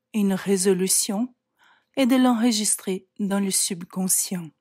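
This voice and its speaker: Slow, breathy woman guiding meditation. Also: medium pitch. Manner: calm, measured instructions with frequent pauses. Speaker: yoga nidra meditation guide. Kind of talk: slow guided relaxation meditation.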